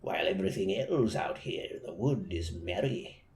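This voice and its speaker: A man voicing a sly, scheming male wolf in a hushed, low, creeping tone. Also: lively intonation, moderate pace, echoey room. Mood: coaxing.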